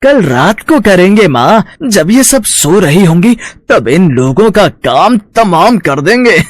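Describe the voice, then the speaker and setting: A man voicing a cruel, scheming young son in a storybook tale, menacing and deliberate. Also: high-pitched, big pitch swings, no room sound, studio quality, exaggerated voice.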